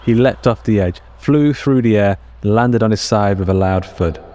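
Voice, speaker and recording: A man narrating scripted audio fiction in a measured, deliberate storytelling manner with short dramatic phrases. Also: on the low side, background noise. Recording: music under the narration.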